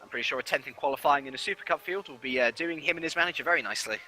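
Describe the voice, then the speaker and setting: A man's voice: on the high side, racing, bright, forceful tone, rough recording. A man commentating live on a sim racing qualifying session, fluent and analytical as he runs down the standings.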